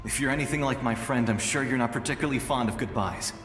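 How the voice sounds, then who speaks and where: A man voicing a calm, selfless guardian in a video game, kindly and resigned. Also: mid-range voice, quick pace, lightly acted. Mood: calm farewell. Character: gentle, selfless doomed male guardian spirit. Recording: long echoing reverb under music.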